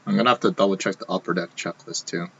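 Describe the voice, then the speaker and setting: Low-voiced man losing his voice. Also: fairly even intonation, fast, creaky, husky, muffled recording. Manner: casual, running commentary while opening packs. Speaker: card break host. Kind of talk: live-streamed card box break.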